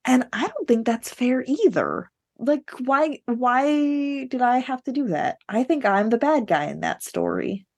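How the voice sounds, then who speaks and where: A woman's voice: sits high, animated, unhurried. Candid, opinionated woman in casual conversation. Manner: candid, opinionated, laughs while talking. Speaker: podcast co-host. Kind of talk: casual book discussion.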